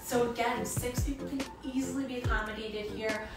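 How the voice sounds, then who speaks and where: Woman, real estate agent, cheerful tour guide, higher voice, distant roomy sound with music bed. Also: steady tone, a little fast. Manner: upbeat, repeats words for emphasis. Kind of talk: walkthrough house tour. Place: inside a house, walking room to room.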